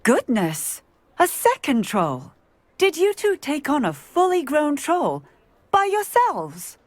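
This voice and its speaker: Brisk British policewoman witch, dry sound. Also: high voice, wildly swooping pitch, dry recording, full character voice. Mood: astonished. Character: brisk, brave village policewoman witch, kindly but authoritative. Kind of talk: video game voice acting.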